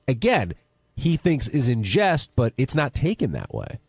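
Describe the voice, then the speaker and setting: A man's voice: mid-range voice, huge pitch swings, unhurried, gravelly, darkish tone, slightly rough, no echo, clean studio sound. A man hosting a casual radio talk show, steering the conversation.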